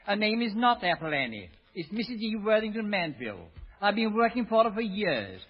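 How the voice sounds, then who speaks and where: An actor playing a proper, obedient, nervous butler in vintage radio drama, prim and precise. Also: male voice, sits high, big pitch swings, brisk, breathy edge, full character voice. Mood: deferential. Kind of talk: 1930s-40s radio drama acting. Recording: muffled old radio, echoey and distant.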